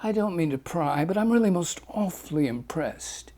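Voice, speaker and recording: A man voicing a smartly dressed, polite young woman who speaks in a hushed way. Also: on the high side, expressive, normal speed.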